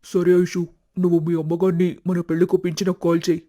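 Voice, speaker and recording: A man's voice: sits high, level tone, quick pace, dark tone, lightly acted. A man voicing a young ex-boyfriend in a cartoon story, explaining himself persuasively. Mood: calm. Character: smooth-talking young ex-boyfriend.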